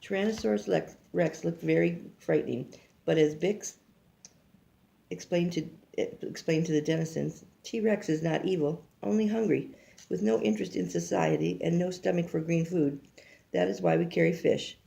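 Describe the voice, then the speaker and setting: An older woman reads a picture book aloud like a grandmother, haltingly, stumbling over words and adding casual asides. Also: on the low side, creaky, dark tone. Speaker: grandmother reading a storybook aloud.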